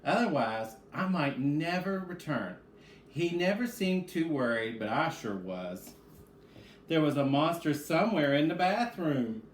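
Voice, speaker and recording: A man's voice: a little high, expressive, measured pace. A man who is a reading teacher, speaking to children in a read-aloud lesson. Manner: addresses children directly, asks and answers own questions. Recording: echoey room with faint background noise.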